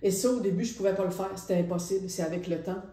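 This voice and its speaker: A middle-aged woman with a Quebec French accent talks casually and reflectively about her painting, hesitating and pausing often. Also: medium pitch, warm tone. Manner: reflective, hesitant, with many pauses. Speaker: painter presenting her artwork. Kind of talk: casual spoken art presentation. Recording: roomy echo.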